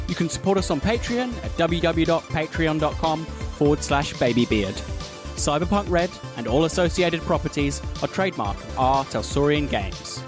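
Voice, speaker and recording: A man announcing a podcast, reading scripted credits. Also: medium pitch.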